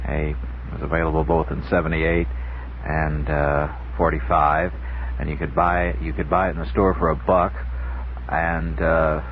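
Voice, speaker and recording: Deep-voiced man on tinny old radio tape. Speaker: radio show guest, author. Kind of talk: conversational interview answers. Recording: muffled, noisy 1960s radio tape, phone-like.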